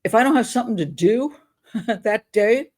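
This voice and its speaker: A woman's voice: fairly high pitch, animated, moderate pace, darkish tone. An older woman hosting a casual advice vlog, talking in a chatty, candid, rambling and self-deprecating way. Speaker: advice show host on YouTube.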